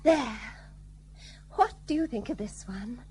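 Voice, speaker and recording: A woman's voice: fairly high pitch, animated, takes it slowly, darkish tone, slight background noise, low-quality recording. An actress playing a flirtatious, genteel colonial British lady who wheedles and feigns helplessness in a period radio play.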